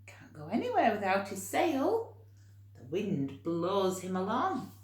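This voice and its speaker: A woman reads a children's story with chatty asides. Her delivery is slow, with lively swings in pitch. The recording has a clear room echo.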